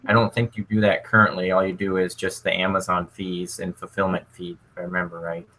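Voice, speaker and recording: A man moderating a casual livestream Q&A, relaxed and thinking aloud as he passes on viewers' questions. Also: sits low, a little fast, poor audio.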